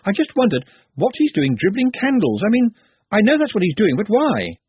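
A man's voice: sits high, huge pitch swings, moderate pace, breathy edge, no echo, narrow, tinny audio, pitched above the performer's own voice, exaggerated voice. Man doing a flustered young wizard scholar. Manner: hesitant, stammering.

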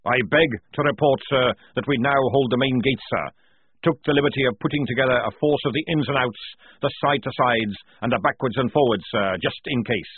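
A man plays a gruff old sergeant who barks every line in a rough, forceful roar. The voice is husky. It sounds dry and tinny, like a phone line. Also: mid-range voice, a little fast, no echo, pitched above the performer's own voice, natural voice. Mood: roaring, defiant. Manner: barking, forceful, rough speech. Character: gruff loud old male army sergeant.

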